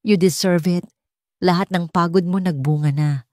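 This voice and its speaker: A woman with a Filipino accent voicing a calm, gentle billionaire man in a romance audiobook, with restrained delivery. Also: fairly low pitch, moderate pace, dry recording, studio quality, close to an everyday voice. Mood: restrained warmth.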